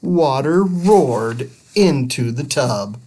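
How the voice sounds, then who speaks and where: Male children's story reader, animated and expressive, very slow pace. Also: medium pitch, husky.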